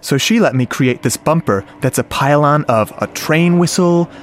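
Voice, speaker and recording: A man playing a shy, earnest, nerdy sound-effects man, telling his story conversationally and haltingly in a radio drama, with a wistful manner. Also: a little high, natural voice. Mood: proudly explaining. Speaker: narrator and lead character. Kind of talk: first-person radio drama monologue. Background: music and sound effects.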